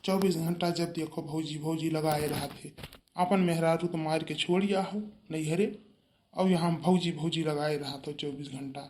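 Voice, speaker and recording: A man's voice: fairly high pitch, steady tone, moderate pace, a little mellow, close to an everyday voice. A man voicing a grumbling, quarrelsome villager in a cartoon comedy, with an Awadhi accent and a blunt rustic manner. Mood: irritated. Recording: echoey, roomy sound.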